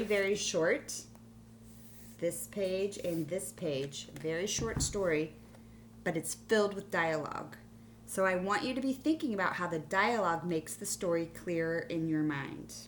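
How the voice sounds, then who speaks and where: Low-voiced woman teacher, with background hum. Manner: instructive, thinks aloud with questions to listeners. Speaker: elementary school teacher. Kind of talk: read-aloud mini-lesson for children. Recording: clearly audible hum and background noise.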